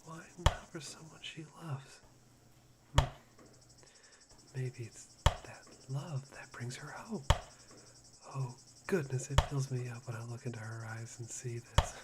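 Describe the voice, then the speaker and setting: Someone acting a creepy whispery young guy, fawning and self-loathing, asmr roleplay, loud background noise. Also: medium pitch, steady tone, measured pace, pauses often, close to an everyday voice. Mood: fascinated, musing. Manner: breathy, fawning, giggly, softly menacing. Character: unhinged, self-deprecating young man obsessed with hope.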